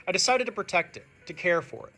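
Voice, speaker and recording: Animated male teacher reading aloud, bright voice. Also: high voice, quick pace, gravelly, soft background music. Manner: expressive, addressing young listeners directly. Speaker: teacher reading a picture book to students. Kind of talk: animated children's read-aloud.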